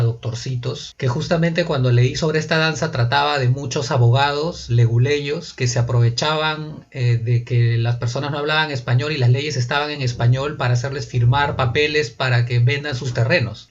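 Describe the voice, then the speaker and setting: A man's voice: medium pitch, dull audio. A man hosting an interview in a chatty, conversational way. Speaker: interview host.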